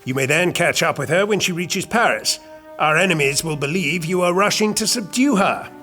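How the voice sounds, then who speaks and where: An actor playing a calculating royal chamberlain in an audio drama, speaking in a formal, measured, persuasive way. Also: male voice, fairly high pitch, expressive, pushed and bright, faint music behind. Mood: persuasive, scheming. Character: calculating, smooth-talking royal chamberlain and courtly schemer.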